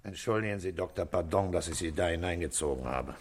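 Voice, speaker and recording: A man's voice: sits low, fast, a little hiss or hum, natural voice. Stern, authoritative police commissioner, played by a man. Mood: subdued, matter-of-fact. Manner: firm, authoritative, insistent. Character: stern, controlled male police commissioner interrogating, commanding. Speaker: actor. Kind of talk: German radio crime drama acting.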